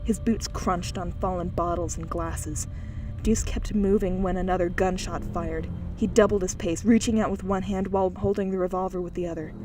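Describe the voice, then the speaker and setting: A woman's voice: on the low side, steady tone, normal speed, over music, low-quality recording. A woman reading an audiobook, narrating scripted fiction in a dramatic style.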